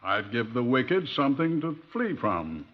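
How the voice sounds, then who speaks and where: A man's voice: medium pitch, sing-song, unhurried. Droll, bookish man, muffled radio. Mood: wry, musing. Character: wry, bookish male adviser, unhurried and droll. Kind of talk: 1950s radio drama acting. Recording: muffled old radio recording.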